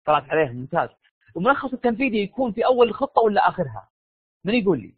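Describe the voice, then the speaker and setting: A Saudi man teaches a live online class in a high, tired voice. He talks fast and informally, repeating himself and pressing for answers. The audio is dull and lo-fi. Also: big pitch swings, husky, dry recording. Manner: informal, repeats phrases, prods listeners for answers. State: tired, strained voice from losing it. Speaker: university lecturer. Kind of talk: live online lecture, questioning students.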